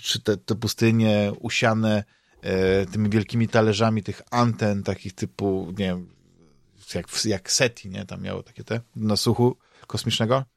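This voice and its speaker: A man's voice: lowish voice, steady tone, normal speed, creaky, dry recording. A man with a Polish accent hosting a podcast in casual chat, rambling and hesitant, often repeating words as he searches for phrasing. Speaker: podcast host. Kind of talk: casual chat about games and comics.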